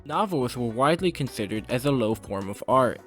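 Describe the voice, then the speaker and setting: Male essay narrator, mid-pitched and lively in intonation, literary and opinionated, faint music underneath. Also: fairly quick. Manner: opinionated, literary, formal wording. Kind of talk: scripted video essay narration.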